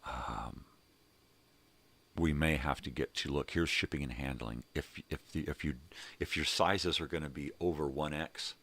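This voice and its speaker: A man's voice: extremely low pitch, unhurried, creaky, fairly bright tone. An older man rambling casually and unhurriedly as he hosts a morning vlog, repeating words for emphasis. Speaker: host of a daily morning vlog.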